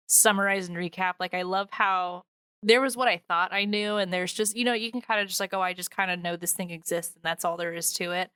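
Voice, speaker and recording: A woman with a bright, crisp voice at an average pitch chats as a podcast host. She is effusive and informal, wandering through her thoughts. The recording sounds dry. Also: no echo. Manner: gushing, informal, rambling. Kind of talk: casual podcast chat.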